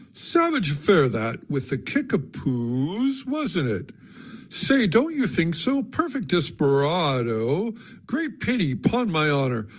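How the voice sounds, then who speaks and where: A man doing a drawling, affected society gentleman. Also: fairly high pitch, pitched above the performer's own voice, heavily acted. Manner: draws out his syllables.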